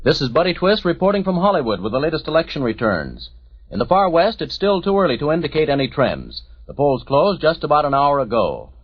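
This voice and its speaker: A man reporting election news on 1940s radio, in a measured, matter-of-fact delivery. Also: on the high side, very animated, husky, no echo, faint music behind. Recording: dry, muffled 1940s radio recording.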